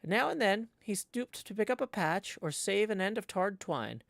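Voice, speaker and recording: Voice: medium pitch, lively intonation, creaky, dry recording, voice pushed up. A reader doing a restrained Cape Cod sailor. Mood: calm. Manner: restrained, measured storytelling. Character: young sailor narrator, restrained and calm.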